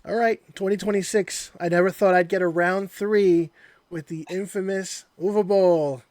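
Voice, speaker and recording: A man's voice: high-pitched, fairly even intonation, normal speed, a little mellow, dry recording. A man hosting a casual interview, chatty and welcoming as he leads the conversation. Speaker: interview host.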